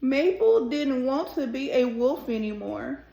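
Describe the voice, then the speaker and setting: A woman hosting a children's read-aloud, speaking deliberately and directly to young listeners as she reads a storybook. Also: high voice, lively intonation, creaky, warm tone. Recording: roomy, echoing sound.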